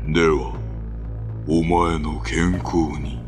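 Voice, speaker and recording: An actor playing an imposing, menacing red demon in a radio drama, with a heavy voice and an imperious, brooding manner. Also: male voice, steady tone, very slow, faint music behind, full character voice. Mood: commanding. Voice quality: deep, heavy voice. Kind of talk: radio drama acting of a ghost tale.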